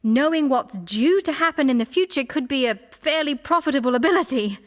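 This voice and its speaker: A sly, unflappable relic trader in a fantasy game. Her voice is fairly high, and she delivers lines with wry, teasing confidence. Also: expressive, fairly quick. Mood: shrewd, intrigued. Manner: wry, teasing, self-assured. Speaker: game character. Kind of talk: theatrical video game voice acting.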